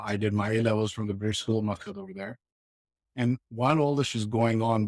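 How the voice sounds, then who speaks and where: A man in his thirties from Pakistan recounts his upbringing in a reflective, unhurried voice that sits fairly low. He circles back and restarts phrases as he remembers. The sound is dry and close, with no room around it. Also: no echo. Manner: reflective, steady, with frequent restarts. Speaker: podcast guest. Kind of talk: casual interview storytelling.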